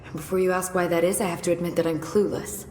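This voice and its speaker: Actress doing a chatty nerdy science gal from a video game, curious n wry, echoey w/ faint noise behind. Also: female voice, lowish voice, flat delivery, quick pace, darkish tone, close to an everyday voice. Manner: inquisitive, wry, precise, nerdy explaining. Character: chatty, eager young female research scientist, witty and curious. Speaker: researcher. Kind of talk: video game dialogue acting. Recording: echoey hall reverb with noisy game ambience.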